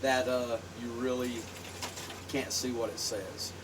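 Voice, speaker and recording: Appalachian host, porch chat, rambling, laid-back; recorded on a distant phone with background noise. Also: male voice, medium pitch, steady tone, unhurried. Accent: Appalachian Southern. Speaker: show host. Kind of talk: casual chat while drinking on a porch. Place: outdoor porch. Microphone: phone some distance away. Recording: distant phone mic, noisy outdoors.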